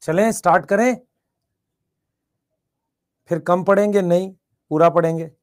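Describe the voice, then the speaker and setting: Hoarse guy teaching an online bio class, high voice, keeps saying answer quick. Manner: calls students "beta", repeats questions and urges quick chat answers. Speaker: biology teacher.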